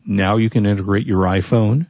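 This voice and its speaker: A man's voice: lowish voice, steady tone, normal speed, darkish tone, dry recording, highs cut off. A man co-hosting a casual tech show and losing his voice, chatting through product picks and tech news. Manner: chatty, offers product rundowns and opinions.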